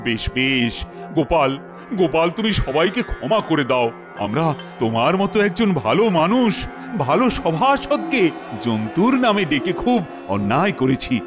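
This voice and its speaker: A man voicing an excitable, imperious Indian king in an animated comedy, with theatrical, exclamatory delivery. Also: high voice, normal speed. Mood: soothing. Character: flustered, excitable Indian king holding court. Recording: loud background music.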